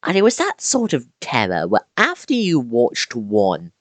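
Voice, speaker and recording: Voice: mid-range voice, huge pitch swings, measured pace, dry recording. A YouTuber narrating a scripted video essay with casual asides and dramatic emphasis on key words.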